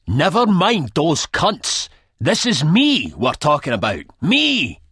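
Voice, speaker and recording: Audiobook role, acted by a male narrator: vengeful man, theatrical, husky and barking, pitched up with wild swings. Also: huge pitch swings, takes it slowly, fairly bright tone, no echo, studio quality, exaggerated voice. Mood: furious. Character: angry, vengeful, self-dramatising male swindled old friend.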